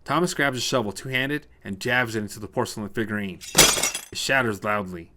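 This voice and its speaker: A man's voice: fairly low pitch, fairly quick, heavy background noise. A man reading a scripted short story as narrator, told in the present tense.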